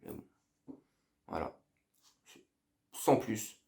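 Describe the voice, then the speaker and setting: A man's voice: very slow, dark tone. A middle-aged man talking casually and slangily to viewers as a football fan, rambling and hesitating, sounding underwhelmed. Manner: underwhelmed, lacking enthusiasm. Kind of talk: casual post-match debrief to viewers.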